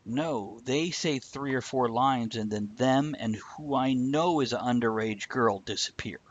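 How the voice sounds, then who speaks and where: A man's voice: mid-range voice, measured pace, lo-fi sound. A man hosting a casual, conversational live-streamed talk show.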